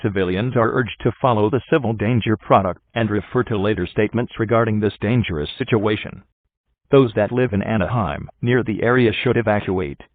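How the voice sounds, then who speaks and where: A man's voice: on the low side, no room sound. A man reading a scripted emergency bulletin as an announcer, formal and impersonal, through a radio filter. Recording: tinny, narrow phone-like broadcast sound.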